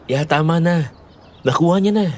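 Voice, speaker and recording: A man's voice: fairly high pitch, normal speed, natural voice. A man playing a responsible young boyfriend in an audio drama, earnest, patient and placating, with a Filipino accent. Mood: apologetic. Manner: earnest, pleading, gentle.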